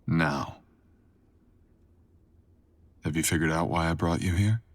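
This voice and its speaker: A man playing a brooding, possessive, dangerous creature boyfriend in an intimate ASMR roleplay, husky and breathy, murmuring teasing commands. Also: sits low. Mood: playful, coaxing. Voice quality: husky, breathy, often dropping to a whisper. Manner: slow, teasing, murmured commands with deliberate pauses.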